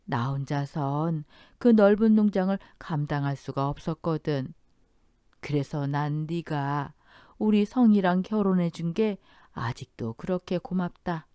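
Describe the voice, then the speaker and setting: A woman's voice: low-pitched, unhurried, dark tone, clear, resonant tone, no echo, clean studio sound. A woman voicing a kindly, spirited elderly mother-in-law with an affectionate, plain-spoken manner in a radio story. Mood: tender. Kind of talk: Korean radio story dialogue.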